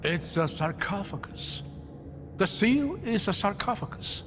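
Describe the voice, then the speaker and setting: An actor playing a curious, learned scholar in a fantasy video game, articulate, formal and thoughtful. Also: male voice, sits high, expressive, unhurried, over music. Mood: astonished, curious. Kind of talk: dramatic video game acting.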